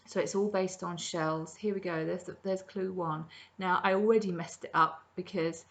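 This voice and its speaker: A woman's voice: lowish voice, fairly even intonation, moderate pace. A middle-aged woman hosting a crafting podcast from her kitchen, chatting casually about her projects with plenty of ums. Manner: chatty and rambling, many ums. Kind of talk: casual show-and-tell chat. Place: home kitchen, indoors.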